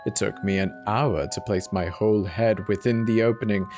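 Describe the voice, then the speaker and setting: A man giving a theatrical, dramatic horror reading, swinging from hushed murmurs to manic highs, with faint music behind him. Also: steady tone, normal speed. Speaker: narrator. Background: faint instrumental music.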